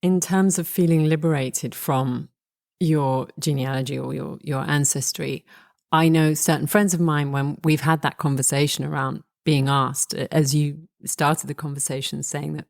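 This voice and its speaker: A woman's voice: deep voice, fairly even intonation, moderate pace, gravelly, a little mellow. A middle-aged woman co-hosting an interview, asking reflective, musing questions. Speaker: podcast co-host. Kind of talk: conversational interview.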